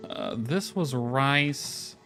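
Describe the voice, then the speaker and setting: A man's voice: mid-range voice, lively intonation, very slow, sharp tone. A man giving casual, rambling livestream commentary as he plays a game, thinking aloud as he goes. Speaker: game streamer. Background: background music.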